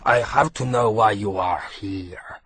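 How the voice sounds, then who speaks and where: A man voicing a slow, cold, disfigured villain. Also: lowish voice, a bit bright, breathy edge, heavily acted. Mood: menacing. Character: disfigured slow menacing male villain interrogator.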